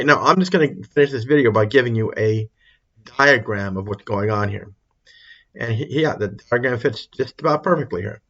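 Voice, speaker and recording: A man's voice: mid-range voice, bright, forceful tone, dull audio. A man giving an informal explanatory lecture as an accounting instructor, repeating and rephrasing his points for emphasis. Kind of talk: explanatory lecture over a worked example.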